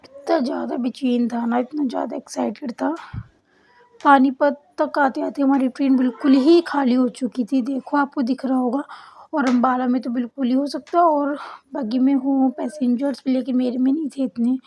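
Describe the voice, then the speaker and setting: Hoarse young woman narrating her vlog. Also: high voice, steady tone, moderate pace, dark tone. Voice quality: husky, cracked voice. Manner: chatty, storytelling to viewers. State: hoarse, sore throat. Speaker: vlogger. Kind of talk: casual travel vlog voice-over. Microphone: voice-over recorded separately.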